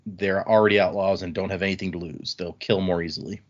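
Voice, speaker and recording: A man voicing a stern male lawman in a book recap, quoting him flatly, on slightly muffled audio. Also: low voice, quick pace, voice pushed down, natural voice. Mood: grim.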